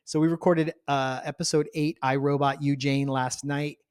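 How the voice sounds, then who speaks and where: A man with a fairly high voice chats as a podcast co-host. He rambles and jokes in a loose, teasing way, recorded dry with very little room sound. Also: level tone, normal speed, clean tone, dry recording. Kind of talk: casual banter with co-host spouse. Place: home garage studio.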